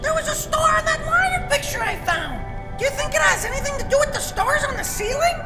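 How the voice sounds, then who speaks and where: A performer voicing a small, excitable, plain-speaking animal with a squeaky voice in a children's audio drama. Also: a little fast. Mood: excited. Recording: echoey hall, music behind.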